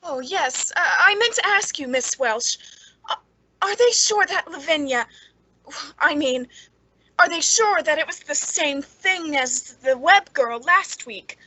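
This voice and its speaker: An actress playing an anxious, reclusive spinster with a hesitant, fretful delivery in an old-time radio suspense drama. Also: female voice, high voice, lively intonation, unhurried, sharp tone, no echo, heavily acted, rough recording. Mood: nervous, hesitant. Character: anxious reclusive spinster, the town's queer one.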